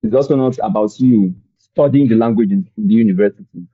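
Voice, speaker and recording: Young nigerian guy, lowish voice, relaxed rambly chat, dull dry recording. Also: a little fast, slightly rough, no echo. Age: young adult. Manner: relaxed, rambling, many fillers. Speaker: guest. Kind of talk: conversational storytelling.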